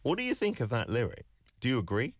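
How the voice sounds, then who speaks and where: A man whose first language is English, co-hosting a casual bilingual podcast chat, animated and full of questions. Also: medium pitch, fast talker, no room sound, clean studio sound. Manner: animated, exclaiming, asks many questions. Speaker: co-host.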